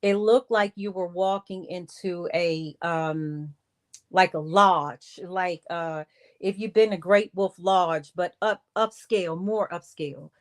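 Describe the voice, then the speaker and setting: Woman, medium pitch, unhurried pace, casual storyteller, halting emphatic repetition, quoted dialogue, livestream vlog style. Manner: animated re-enacting of conversations with quoted dialogue. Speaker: vlogger telling a personal story.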